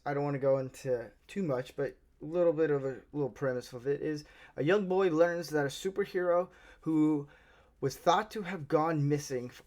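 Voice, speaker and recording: A man with a Southern American accent, giving a casual, rambling movie review as a channel host, often restarting his sentences. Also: fairly high pitch. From Southern United States. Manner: chatty, rambling, many restarts.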